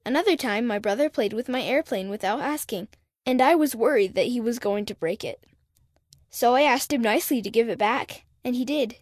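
A woman reading a child's first-person story aloud in an earnest, warm manner. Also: a little high, no room sound, studio quality. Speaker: reader of a children's magazine. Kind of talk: scripted narration.

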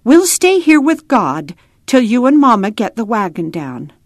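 A woman's voice: on the high side, big pitch swings, unhurried, dry recording, studio quality, voice pushed up, exaggerated voice. A woman voicing a brave, devout young pioneer girl in a children's story, earnest and trusting. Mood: earnest, prayerful.

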